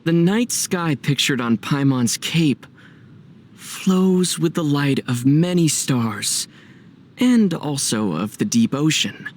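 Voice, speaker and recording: A young man voicing a calm, thoughtful, wry traveler hero in a video game, speaking in a measured, musing way. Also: a little high. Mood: mock mystical. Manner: measured, musing, gently dry. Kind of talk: video game character dialogue.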